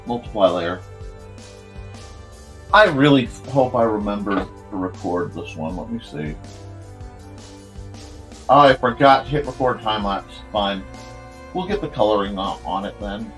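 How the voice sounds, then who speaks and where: Croaky, low-voiced man with swooping pitch. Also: expressive, unhurried, long pauses, faint music behind. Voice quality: slightly croaky, strained. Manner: goofy, rambling, half talking to himself. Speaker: cartoonist hosting a live art show. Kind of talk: casual chat while drawing.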